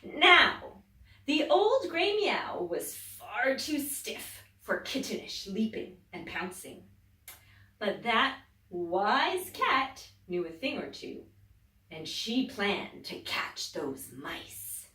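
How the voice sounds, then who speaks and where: Woman teacher reading to kids; slow and very lively delivery, bright tone, echoey room, mic at a distance. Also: a little high. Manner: expressive, swinging from whispers to loud exclaims. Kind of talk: children's story reading.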